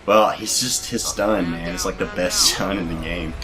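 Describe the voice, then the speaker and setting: A young man with a very deep voice, calmly giving his game opinions and stopping to hunt for names. Loud game music plays behind him. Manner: relaxed, rambling opinions with pauses to recall names. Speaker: let's play commentator. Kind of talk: casual gaming chat.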